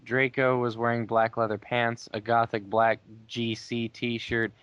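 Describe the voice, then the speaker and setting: A man with a smooth, clear voice takes his time, wry and deliberate as he banters and reads fan fiction aloud. The mic is close and dry, slightly muffled and lo-fi. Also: medium pitch, little pitch movement, fairly bright tone, dry recording. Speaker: player in a dramatic group reading game. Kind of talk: casual banter and dramatic reading of fan fiction.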